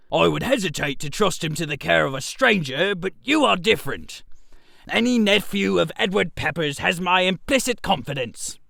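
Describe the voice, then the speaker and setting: Guy playing oblivious chatty old dad in a radio comedy, presumptuous, swoops around, bit creaky. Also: high voice, bright, forceful tone, no room sound, studio quality, full character voice. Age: older. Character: genial, oblivious, whiskered older English father at a window. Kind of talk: comic radio-theater story acting.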